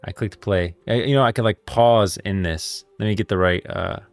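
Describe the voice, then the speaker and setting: A man's voice: fairly low pitch, normal speed, dry recording, soft background music. A man hosting a livestream, chatting casually and thinking aloud to viewers in informal, slangy language. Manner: informal slangy talk addressing viewers as guys and bros. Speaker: livestreamer and host.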